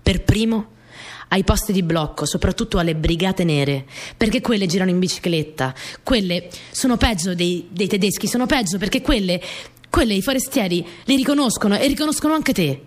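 A woman's voice: medium pitch, lively intonation, a little fast, natural voice. Woman acting a spirited young partisan courier girl. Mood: earnest, confiding. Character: spirited young Italian partisan courier girl telling her wartime story. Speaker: actress in a radio play. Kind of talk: dramatic first-person monologue for radio theatre.

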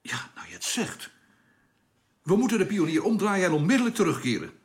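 An actor playing a brisk, impatient spaceship crewman in a science fiction radio drama. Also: sits high, very animated. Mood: strained, focused.

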